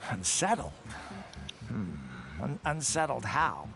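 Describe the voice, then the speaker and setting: A man's voice: mid-range voice, big pitch swings, slow, vocal fry, noisy background, full character voice. An actor playing a drowsy, languid loner of a male soldier in a fantasy video game. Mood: sleepy, unbothered. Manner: languid, drifting, sighing.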